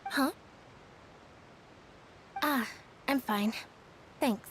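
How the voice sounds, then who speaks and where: A woman voicing a quiet, melancholy young woman with a flat, soft delivery that drops to a whisper at times. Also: high voice, extremely slow, slight background noise, heavily acted. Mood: wistful.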